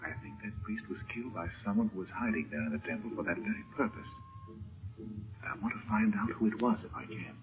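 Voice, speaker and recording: Steady, protective adventurer hero man acting, lowish voice, deliberate urgency, muffled old radio drama sound. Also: over music, lightly acted. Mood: hushed, scheming. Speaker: radio serial actor. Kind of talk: 1930s radio serial acting. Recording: narrow, muffled 1930s radio recording.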